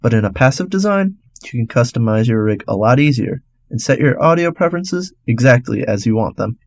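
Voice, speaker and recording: Male radio host for scripted educational segment. Mid-range pitch, instructional step-by-step delivery. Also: expressive, brisk. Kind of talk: scripted explanatory narration.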